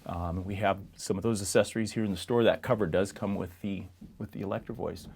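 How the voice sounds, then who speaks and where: A man's voice: sits low, fairly even intonation, a little fast, a little mellow. A man presenting for a music store, comparing products in a casual, plain-spoken, conversational way as he explains things to the viewer.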